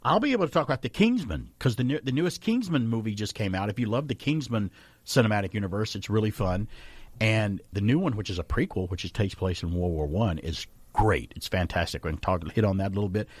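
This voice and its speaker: A man co-hosting a radio show, chatting casually and jokingly, with a low gravelly voice. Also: dry recording. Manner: animated, jokey, fast talker with impressions of others. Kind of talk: radio chat show banter. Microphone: studio mic.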